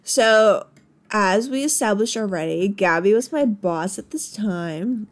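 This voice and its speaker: A woman's voice: medium pitch, lively intonation, unhurried. A woman in her twenties, co-hosting a podcast, telling a story in casual chat, laughing and acting out quotes, in a living room. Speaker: podcast co-host.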